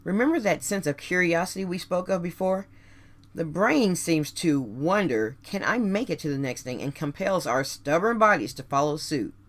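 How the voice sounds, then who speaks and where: A woman hosting a radio show, delivering a scripted motivational monologue straight to listeners in deliberate, emphatic phrases with rhetorical questions. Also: fairly low pitch, expressive.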